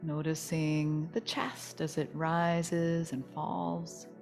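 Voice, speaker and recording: A woman teaching yoga nidra, leading a guided relaxation meditation in a soothing, gentle, unhurried manner. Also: low voice, little pitch movement, creaky, soft background music.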